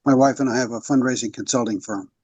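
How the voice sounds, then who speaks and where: A man's voice: mid-range voice, fast talker, darkish tone, husky, no echo, muffled recording. An older man with an American accent, giving a casual self-introduction.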